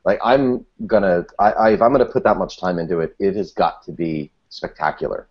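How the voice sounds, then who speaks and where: A man's voice: deep voice, expressive, a little fast. A man talking casually on a podcast panel in a wry, wordy way, leaning on emphatic words. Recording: muffled, dull lo-fi audio.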